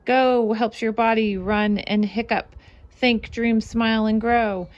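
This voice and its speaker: A woman reading a children's storybook aloud in an expressive, sing-song way, with casual asides to her young listeners. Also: on the high side, slow, dull audio. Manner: animated, sing-song rhyming delivery. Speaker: children's read-aloud host and fitness instructor.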